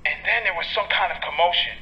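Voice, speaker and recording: Casting: phone-filtered bar musician played by a man, high-pitched, sarcastic, stammering, slightly dull lo-fi sound, audio drama. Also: fast talker. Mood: confused, defensive. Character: laid-back, sarcastic male bar musician, muddled and defensive. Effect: telephone filter.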